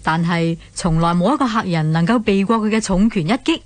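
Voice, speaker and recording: A woman's voice: medium pitch, brisk, no echo. A woman with a Hong Kong accent, narrating a story dramatically as in a scripted radio drama.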